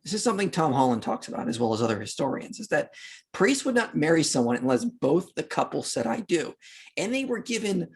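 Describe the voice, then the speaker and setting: Man, podcast guest, animated intonation, husky edge, emphatic explainer, conversational history discussion. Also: a little high, fairly quick. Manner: emphatic, acts out imagined quotes conversationally.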